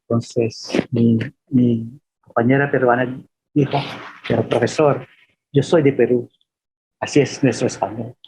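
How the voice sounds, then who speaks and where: Slow-paced man over a noisy connection. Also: medium pitch, fairly even intonation, darkish tone. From Philippines. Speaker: professor giving a lecture. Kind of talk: informal lecture with anecdotes.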